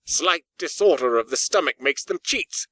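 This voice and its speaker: A man voicing a cold, sharp-tongued old miser shaken by dread, excitable and pleading, in a dramatised storybook reading. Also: fairly high pitch, normal speed, no room sound, voice pushed up. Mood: defiant, flustered.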